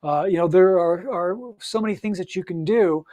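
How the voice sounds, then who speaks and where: Man, fairly high voice, upbeat coaching talk, encouraging, dry room. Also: moderate pace, dark tone, no room sound. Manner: upbeat, encouraging, speaks straight to the listener; frequent fillers and restarts. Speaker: audiobook coach. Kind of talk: motivational solo talk.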